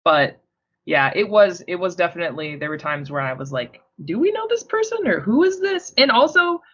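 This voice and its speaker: A young woman co-hosting a book podcast, explaining the story in a relaxed, teasing way. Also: lowish voice, moderate pace, husky. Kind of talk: casual book discussion. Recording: dull lo-fi recording.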